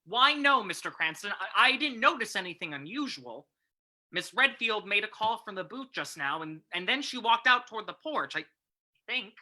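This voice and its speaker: A deferential desk clerk in a radio play, read by a woman. The voice is sharp and animated, stammering hesitantly through answers. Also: mid-range voice, normal speed. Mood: nervous, deferential. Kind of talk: old-time radio drama reading.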